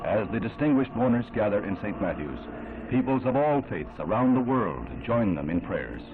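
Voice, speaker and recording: Male American newsreel narrator, 1960s, grave and oratorical, low register, thin band-limited soundtrack. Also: very animated, phone-quality sound, noisy background. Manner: grave, measured, oratorical delivery. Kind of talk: 1960s newsreel scripted narration, solemn and dramatic. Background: music.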